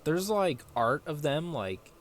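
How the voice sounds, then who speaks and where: A man's voice: a little high, big pitch swings, takes it slowly. A man giving casual, rambling, sweary gameplay commentary, sometimes reading game text aloud. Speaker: gaming streamer playing through a story quest. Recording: loud game music behind. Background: game music.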